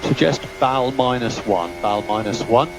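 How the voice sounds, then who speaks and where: A man's voice: medium pitch, unhurried. Clipped male race engineer over noisy radio. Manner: clipped, businesslike, technical delivery. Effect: team radio transmission. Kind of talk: terse race instructions and updates over radio. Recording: noisy team radio, engine and vehicle hum.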